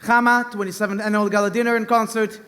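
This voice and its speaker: A man's voice: sits high, flat delivery, fast talker, bright, forceful tone. A man formally reading out an award inscription at a gala dinner. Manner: formal, announcing. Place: banquet hall at a gala dinner.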